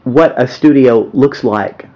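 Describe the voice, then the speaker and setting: A man's voice: mid-range voice, takes it slowly. An American man asking questions as an interviewer in a casual, conversational style. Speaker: interviewer, teacher. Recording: slightly muffled, dull sound.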